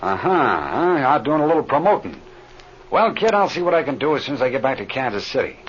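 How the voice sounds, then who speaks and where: A man's voice: medium pitch, sing-song, fairly quick, close to an everyday voice. Seasoned American flyer male actor, informal, modest and wry, 1930s radio drama, muffled recording with faint noise. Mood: easygoing. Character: easygoing, seasoned male chief pilot, wryly modest. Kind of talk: 1930s radio serial acting.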